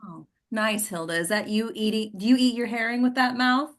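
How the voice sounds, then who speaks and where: A woman's voice: on the high side, steady tone, brisk, a bit bright, lightly acted. A woman playing a harried, blunt and sarcastic government official in a stage comedy read.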